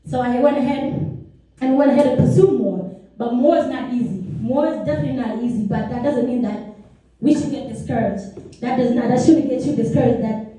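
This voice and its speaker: A woman's voice: a little high, brisk, warm tone, heavy reverb, far from the mic, rough recording. A young woman from South Sudan telling her personal story to a meeting, earnest and chatty with many asides. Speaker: speaker. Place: meeting room.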